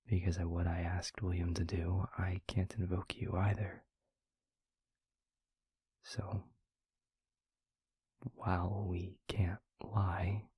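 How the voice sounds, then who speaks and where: A gentle vampire boyfriend, played by a man, speaks in a very breathy, husky near-whisper. His low voice is soft and mellow, with a slow, halting, tender delivery suited to intimate audio roleplay, recorded close and dry. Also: no room sound, full character voice. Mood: careful, explaining. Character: gentle, devoted male vampire boyfriend, earnest and soothing.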